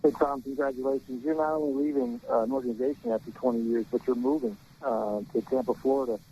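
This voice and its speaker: A man's voice: mid-range voice, steady tone, fast, dark tone. A male newspaper reporter putting a question at a press conference held by phone. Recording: noisy conference phone line.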